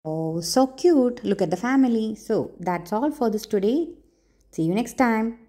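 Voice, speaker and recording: A woman reads a children's storybook aloud in a soft, mellow voice. Her pitch rises and falls a great deal as she takes her time over each phrase. The delivery is expressive, with dramatic pauses for young listeners. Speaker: storyteller, channel host.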